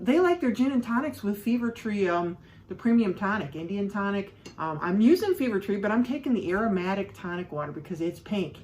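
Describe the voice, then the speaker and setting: A woman's voice: medium pitch, slight background noise. A woman from the Midwest, chatting casually and rambling a bit as she mixes cocktails on her own show. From Midwest of the United States. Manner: chatty and rambling, with frequent fillers and asides. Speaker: home cocktail show host. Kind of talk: casual how-to chat while mixing drinks. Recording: voice a bit distant from the mic.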